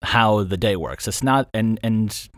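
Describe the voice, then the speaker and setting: A man's voice: on the low side, a little slow, fairly bright tone, no echo, studio quality. A man hosting a casual, unscripted solo update about his creative work, thinking aloud in a loose, rambling way. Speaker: audio drama creator and host.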